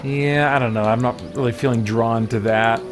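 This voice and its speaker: A man hosting a game stream, casually commenting on play and answering chat in a musing, halting way as he thinks aloud. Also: mid-range voice, fairly even intonation. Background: music.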